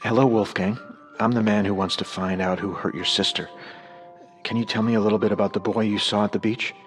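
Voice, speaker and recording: Low-voiced, gently probing male investigator, played by an actor. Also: steady tone, a little fast, soft background music, lightly acted. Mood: gentle, probing. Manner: patient, coaxing. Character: gentle male police investigator questioning a frightened child. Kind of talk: radio drama acting.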